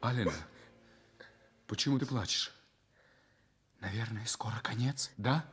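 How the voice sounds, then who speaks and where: An actor playing a gravely ill young journalist husband, bitter yet tender, in a Soviet radio drama. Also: male voice, medium pitch, a little slow, a bit bright, faint music behind, natural voice. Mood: tender, despondent.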